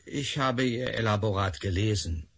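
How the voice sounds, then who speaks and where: A man's voice: fairly low pitch, normal speed, slight background noise, close to an everyday voice. An actor playing a cold, sardonic male professor in charge, mocking and superior, in a radio drama. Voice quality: gravelly. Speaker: Professor Bergson.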